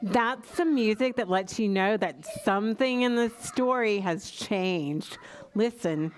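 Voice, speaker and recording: A woman with a medium-pitched voice co-presents on stage. She delivers a scripted explanation aimed at children. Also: slow. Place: live event at a public library.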